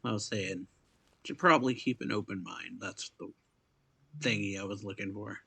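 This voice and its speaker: Male, husky, creaky, mid-pitched, casual gaming reactions, mumbled low-key delivery, faint music. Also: normal speed, sharp tone. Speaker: reaction video streamer. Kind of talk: casual game commentary.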